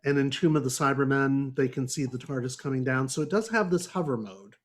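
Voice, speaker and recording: A man's voice: mid-range voice, brisk. A man talking casually about a book as a panelist in a podcast discussion.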